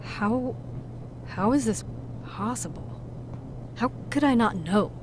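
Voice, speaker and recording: An actress playing a troubled, defensive woman patient in a visual novel game, halting and disbelieving. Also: a little high, animated, noisy background. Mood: stunned. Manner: halting, disbelieving, foul-mouthed.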